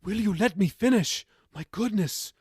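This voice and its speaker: A man's voice: high-pitched, expressive, normal speed, slightly rough, no echo, studio quality, pitched above the performer's own voice, full character voice. A man voicing an anguished grandmother, pleading and exasperated, in audiobook dialogue. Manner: emotional, pleading.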